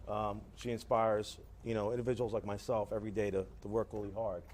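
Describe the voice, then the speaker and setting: A man from Ghana, a guest on a community talk show, speaking eagerly and warmly to a young audience. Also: lowish voice, flat delivery, a little fast, slight background noise.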